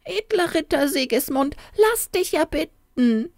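Woman doing a squeaky little church goblin. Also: expressive, measured pace, dry recording, studio quality. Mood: wailing. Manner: squeaky and mocking, later whining. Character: small male church goblin, cheeky then wailing. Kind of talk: storybook character voice.

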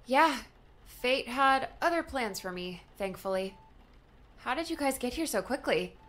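A woman's voice: on the high side, expressive, measured pace, fairly bright tone. A woman playing a candid, wry young adult daughter in a conversational audiobook drama style. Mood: relieved, affectionate.

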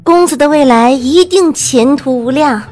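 High-pitched cheeky young noblewoman played by a woman. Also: moderate pace, a little mellow, faint music behind, natural voice. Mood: teasing. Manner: teasing, mock-gushing. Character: cheeky young noblewoman student in ancient China. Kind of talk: audio drama acting.

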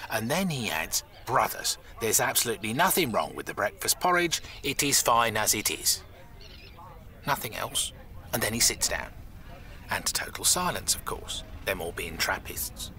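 A man's voice: medium pitch, pushed and bright, heavily acted. A man playing a bossy, wry crook boss in an audio comedy drama, chatty and colloquial. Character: gruff bossy male crook boss, fond of jokes.

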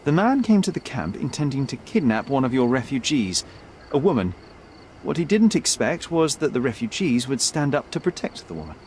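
An actor playing a young detective in a game, articulate, precise and self-assured. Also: male voice, medium pitch, very animated, normal speed, darkish tone, a little hiss or hum, close to an everyday voice. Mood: confident, probing. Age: young adult. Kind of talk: dramatic game cutscene dialogue. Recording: faint night ambience behind.

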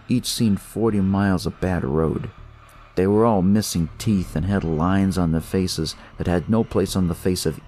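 A man's voice: low-pitched, warm tone, a little hiss or hum. A man narrating a first-person detective tale as a scripted story.

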